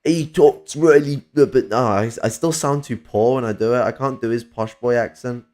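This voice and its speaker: A man's voice: mid-range voice, moderate pace, a little mellow. A man with a Northern English accent chatting casually about politics on a stream, rambling, swearing a lot and restarting his sentences, with a slightly whiny voice. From Northern England. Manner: rambling, swears often, many fillers and restarts.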